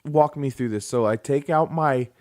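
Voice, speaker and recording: A man's voice: medium pitch, normal speed, darkish tone. A man co-hosting a podcast, teasing his partner in casual, playful chat and exaggerating for laughs. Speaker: podcast co-host. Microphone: podcast microphone.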